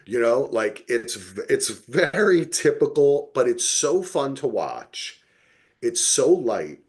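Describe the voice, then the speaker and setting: A man's voice: medium pitch, sing-song, takes it slowly. A middle-aged man co-hosting a podcast, reviewing television casually with emphatic, playfully exaggerated storytelling. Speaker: podcast co-host.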